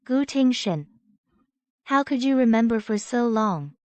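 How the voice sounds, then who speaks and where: A woman's voice: on the high side, expressive, a little slow, no room sound. A woman playing a sincere, sentimental young heroine in a romantic audio drama. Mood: moved. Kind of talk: dubbed romantic radio drama acting.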